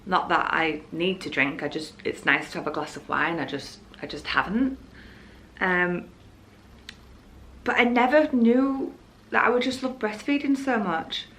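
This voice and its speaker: Lower-pitched young Yorkshire woman, noisy recording. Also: measured pace, a bit bright. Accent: Yorkshire English. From Hull, Yorkshire, England. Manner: rambling, candid. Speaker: vlogger. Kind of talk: casual chatty vlog.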